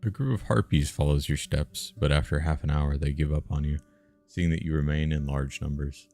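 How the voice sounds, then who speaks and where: A man's voice: rumbling low, fairly even intonation, normal speed, a little mellow, soft background music. A man reading a fantasy game's narration aloud on a live stream, mixed with casual banter.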